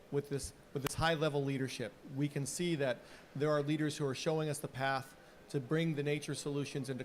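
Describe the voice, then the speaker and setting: Male event host wrapping up a conference session; hopeful, rallying tone, a touch high. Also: a little hiss or hum. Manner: hopeful, rallying, some restarts. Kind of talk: closing remarks at an event. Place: conference pavilion.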